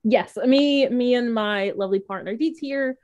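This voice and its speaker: A woman's voice: a little high, unhurried, darkish tone, highs cut off. A woman player on a game stream introducing herself in a shy, self-deprecating casual chat.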